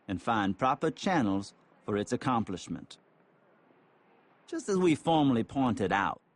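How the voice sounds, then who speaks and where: Male reader for a formal letter, measured phrasing with widely moving pitch, very dry close sound. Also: no echo. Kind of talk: formal reading aloud of a written letter.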